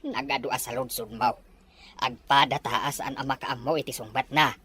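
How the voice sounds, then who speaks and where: A woman playing an elderly rural husband, husky and reassuring, in an audio drama. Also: a bass voice, fairly even intonation, moderate pace, a bit bright.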